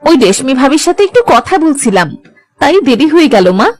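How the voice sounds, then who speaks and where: A woman's voice: high voice, very animated, a little fast, a little mellow. A woman playing a dutiful, chatty young village daughter-in-law in homely cartoon dialogue.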